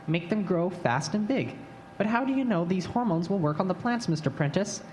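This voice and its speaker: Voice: mid-range voice, expressive, moderate pace, darkish tone, natural voice. A performer playing a weary, confused, obedient boy assistant in an old-time radio drama, plaintive and full of questions. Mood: tired, puzzled. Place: stage before a live audience. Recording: live stage recording, faint noise.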